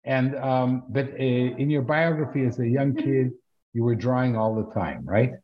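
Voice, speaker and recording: A man hosting a conversational interview, asking questions in a halting, deliberate way with pauses. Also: medium pitch, highs cut off. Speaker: interviewer, show host.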